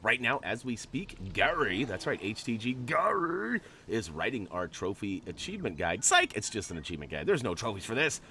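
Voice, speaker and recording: Nasal, chatty man, lively voice. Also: fairly low pitch, normal speed, slight background noise. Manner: chatty, joking, rambling asides. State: stuffy from allergies. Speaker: gaming channel host. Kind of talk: casual gameplay commentary.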